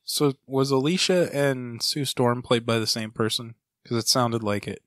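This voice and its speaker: Casting: male podcast co-host, medium pitch, informal bantering delivery, very dry room. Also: fairly even intonation, normal speed, a bit bright, no echo. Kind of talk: casual banter.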